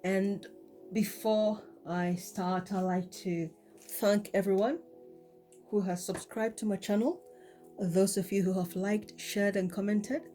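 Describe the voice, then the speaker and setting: Slow-spoken woman with a cold. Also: medium pitch, soft background music. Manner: relaxed, many ums and repeated "okay?". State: has a cold. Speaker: tarot reader. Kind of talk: casual pick-a-card tarot reading.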